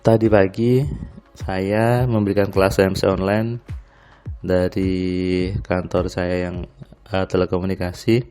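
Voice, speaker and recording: Low-voiced guy with a stuffed-up nose rambling calmly thru his night diary out on the terrace, soft music in the back. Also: unhurried, long pauses. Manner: relaxed, rambling with frequent fillers. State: slightly nasal, stuffy voice. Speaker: motivational audio diarist. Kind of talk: casual spoken diary monologue.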